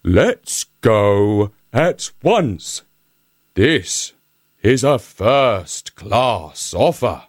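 A man's voice: mid-range voice, wildly swooping pitch, dry recording, clean studio sound, exaggerated voice. A man voicing a vain, timid female donkey who simpers and draws out her words. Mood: simpering. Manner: simpering, drawn-out.

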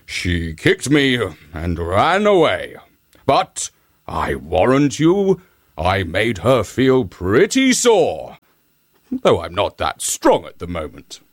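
A man voicing a fierce, weakened male lion with a low, slow growl. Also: huge pitch swings, dry recording, clean studio sound, full character voice. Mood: gruff.

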